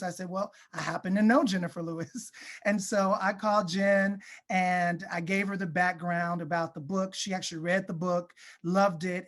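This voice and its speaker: A man's voice: high-pitched, a bit bright. An American man speaks as a director being interviewed. He answers in a conversational, storytelling style, explaining his work in full, flowing sentences. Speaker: film director, interview guest.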